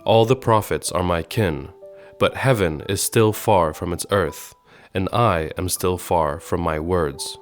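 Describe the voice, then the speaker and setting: A man with a low-pitched voice hosts a podcast. He reads scripted narration in a measured, articulate way, like a radio journalist introducing his program. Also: soft background music. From Sweden, grew up in Egypt and Jordan.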